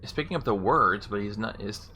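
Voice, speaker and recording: Bright-voiced man demonstrating game voice commands. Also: lowish voice, animated, brisk, faint music behind. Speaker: gamer demonstrating a voice assistant. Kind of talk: spoken voice commands with casual commentary.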